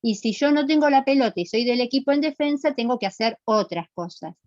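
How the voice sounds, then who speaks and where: A woman's voice: fairly high pitch, brisk, a little mellow, no room sound, dull audio. A middle-aged woman with a Rioplatense Argentine accent lecturing to students in a didactic, emphatic way, checking they follow with short questions. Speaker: physical education lecturer.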